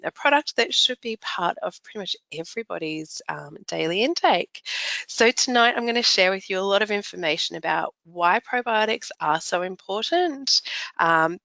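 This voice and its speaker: A middle-aged woman with a slightly croaky, raspy voice, presenting a product launch webinar in a chatty, upbeat way with frequent ums. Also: fairly low pitch, pushed and bright, dry recording. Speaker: webinar presenter, naturopath trainer. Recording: dull lo-fi webinar audio.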